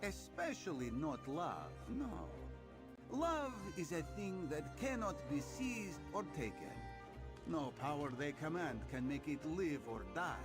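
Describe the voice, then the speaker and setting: A man's voice: on the high side, very animated, a little slow. A man playing a suave, affectionate cat-folk rogue in a video game, with a teasing, theatrical way of talking. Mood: earnest, tender. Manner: third-person speech, teasing, theatrical swoops. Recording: game music behind the voice.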